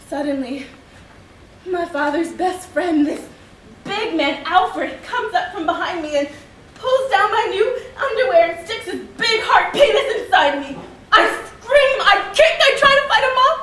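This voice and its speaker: A woman's voice: extremely high pitch, sharp tone, full character voice. A woman performing a theatrical monologue as a wounded, frank young girl, with a childlike, dramatic, emphatic delivery. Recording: echoey room, distant mic, faint noise.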